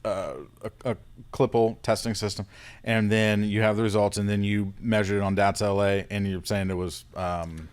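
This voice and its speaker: A man's voice: lowish voice, flat delivery, smooth. A man hosting a casual interview, feeling his way through questions with frequent restarts. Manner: halting, searching questions with restarts. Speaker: interviewer, host.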